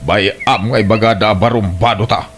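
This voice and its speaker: A man's voice: lowish voice, steady tone, moderate pace, fairly bright tone, slight background noise. A man playing a roguish, pushy uncle who coaxes others into trouble, in an Ilocano-accented radio drama. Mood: cajoling. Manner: cajoling, pushy. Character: roguish, coaxing uncle, a bad influence.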